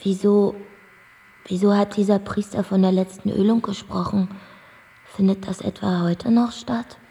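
A worldly-wise, sardonic bar singer in a radio drama. Her voice is dark with a crackly fry and rings in a long reverberant space. She talks bluntly and flippantly. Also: medium pitch, level tone, a little slow. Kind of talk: German radio drama acting.

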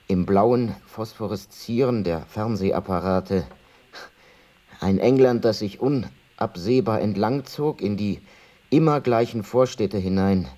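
A man's voice: lowish voice, mellow, lightly acted. An actor playing a reflective man in a literary radio play monologue, musing intimately over his childhood.

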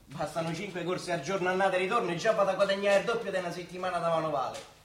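A man's voice: high voice, racing, fairly bright tone. An actor playing an eager, scheming young labourer with a Roman accent, coaxing his mother colloquially in a dialect stage comedy. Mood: eager, pleading. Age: young adult. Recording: roomy stage sound, faint noise.

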